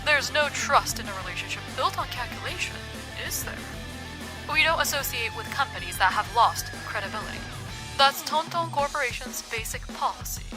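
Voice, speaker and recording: A woman's voice: sits high, lively intonation, moderate pace, music in the background. A woman playing a cool, uncompromising young businesswoman in a manga dub, speaking formally and firmly. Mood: cold. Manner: formal, firm.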